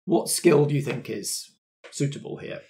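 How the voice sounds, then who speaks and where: A man narrates a tabletop roleplaying game as its game master, with a middle-pitched voice. He talks in a conversational way, thinking aloud as he goes. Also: moderate pace, mellow, slight background noise.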